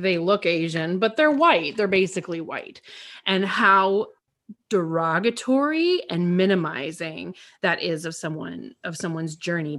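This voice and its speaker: A woman, a worship pastor, talking as a podcast guest in a candid, animated way that turns quick when she jumps in. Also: lowish voice. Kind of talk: casual conversation.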